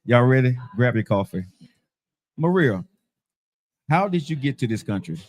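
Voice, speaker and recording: Lively, chatty woman interviewer. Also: a bass voice, normal speed. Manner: reactive, chatty, echoes the guest's words. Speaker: host, interviewer. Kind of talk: casual chat interview.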